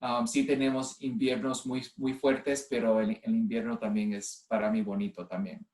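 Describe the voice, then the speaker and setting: Man with a mixed puerto rican-ish spanish accent, 50s, lots of restarts, middle pitch, echoey room at home. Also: flat delivery, a little mellow. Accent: mixed Spanish with a Puerto Rican base. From United States. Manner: halting, with many restarts and stray English words. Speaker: nurse and clinical informatics specialist. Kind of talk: online conference presentation.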